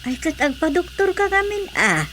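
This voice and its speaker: A woman's voice: very high voice, big pitch swings, normal speed, a bit bright, slight background noise, exaggerated voice. A woman acting a concerned, prying married aunt in a radio drama, questioning and insistent with an Ilocano accent.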